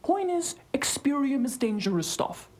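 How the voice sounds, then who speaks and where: A woman's voice: sits high, sing-song, moderate pace, darkish tone. A woman playing a blunt, exasperated but caring colleague in an audio drama. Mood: scolding. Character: blunt, exasperated, knowledgeable female colleague, worried.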